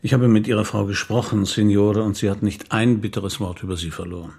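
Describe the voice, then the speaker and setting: An actor in a radio play playing a calm, courteous middle-aged police commissioner who speaks in a measured, probing way. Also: male voice, on the low side. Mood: probing, polite. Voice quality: gravelly, husky.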